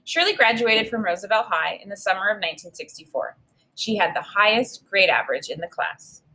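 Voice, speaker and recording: A woman teacher reading a children's book aloud and pausing to think aloud about it. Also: mid-range voice, breathy edge, low-quality recording. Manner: reads with expressive emphasis, pauses to think aloud. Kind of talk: read-aloud lesson with think-aloud.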